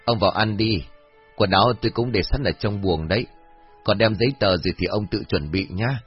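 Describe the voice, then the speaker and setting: A man's voice: on the low side, moderate pace, husky, lo-fi sound, faint music behind. A man voicing an older village wife, fussy, scolding and flustered, in an audio story. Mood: nagging.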